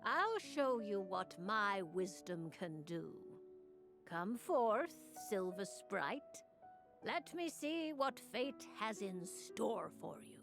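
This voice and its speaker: A woman's voice: medium pitch, big pitch swings, slow pace, gravelly, heavily acted. A woman voicing a mysterious, theatrical fortune-teller in an anime game, drawing out each phrase portentously. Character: mysterious, theatrical female fortune-teller who savours suspense. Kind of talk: anime game character acting. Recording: game music over the voice.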